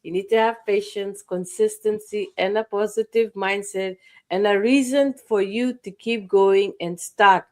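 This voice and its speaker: A woman's voice: mid-range voice, steady tone, unhurried. A woman hosting a motivational online talk, speaking earnestly, repeating phrases for emphasis and listing points one by one. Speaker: host.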